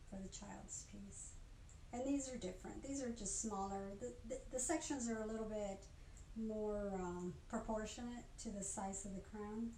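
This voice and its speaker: An older woman giving an informal craft demonstration in a chatty, halting way, often stopping to rephrase. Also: mid-range voice, dark tone. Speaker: craft instructor. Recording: roomy echo, faint background noise.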